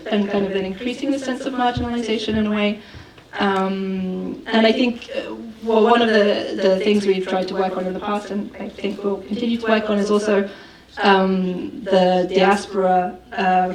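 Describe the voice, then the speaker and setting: A woman filmmaker answering questions at a festival Q&A, thoughtful and full of fillers and restarts. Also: medium pitch. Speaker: film director. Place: festival hall with audience. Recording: roomy hall, far from the mic, crowd noise.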